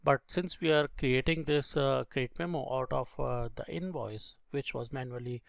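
Gravelly male software instructor, dry close sound. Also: medium pitch, no echo. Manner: halting, instructional, step by step. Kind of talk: screen-recorded software tutorial.

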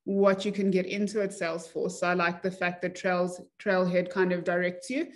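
A woman's voice: fairly low pitch, flat delivery, moderate pace. A young woman hosting a podcast interview over a video call, friendly and enthusiastic as she asks her questions. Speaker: podcast host.

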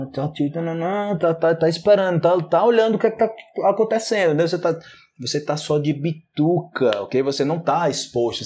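Brazilian man, 30s, portuguese teacher, slightly high voice, animated, acts out examples, lapel mic. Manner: animated, gestures a lot, acts out example phrases. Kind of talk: casual video lesson explaining vocabulary. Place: small indoor room, plain wall.

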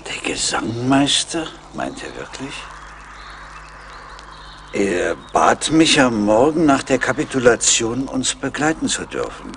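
Gravelly, anguished old colonel. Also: male voice, medium pitch, sing-song, takes it slowly, fairly bright tone, a little hiss or hum, heavily acted. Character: anguished older colonel, father searching for his daughters. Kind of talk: German radio play acting.

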